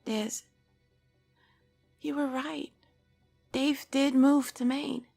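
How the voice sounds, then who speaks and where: A woman voicing a quiet, gentle young woman who is worried about her friend, in a spoken story. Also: high-pitched, steady tone, drawn-out words, vocal fry, faint music behind, voice pushed up, heavily acted. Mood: sad.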